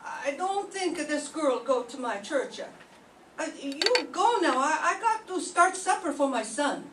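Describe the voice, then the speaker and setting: An older woman playing a poor, frightened immigrant mother in a radio drama, pleading in halting broken English. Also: high voice, animated. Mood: shaken. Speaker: amateur radio drama performer. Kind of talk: live reading of a 1940s radio drama. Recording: echoey room, distant mic, faint noise.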